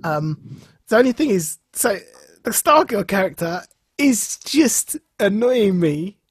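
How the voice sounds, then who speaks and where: A man with a very husky, breathy voice chats as a podcast host, recorded dry and close. He tells stories in an animated, keen way and slips into acting out what people said. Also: high voice, slow, no echo. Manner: animated storytelling, acts out reported dialogue. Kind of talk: casual enthusiastic podcast chat.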